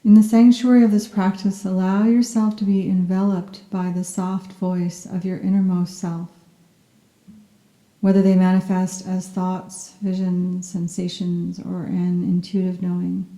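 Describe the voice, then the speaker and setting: A soft-spoken woman guiding a yoga nidra meditation in a gentle, soothing and unhurried manner. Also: lowish voice, level tone, echoey room, poor audio. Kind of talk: guided meditation, scripted narration.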